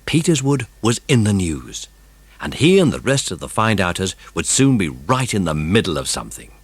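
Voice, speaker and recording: Deep-voiced British man narrating a story. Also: big pitch swings, moderate pace, breathy edge, dry recording. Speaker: audiobook narrator. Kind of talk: scripted story narration for children.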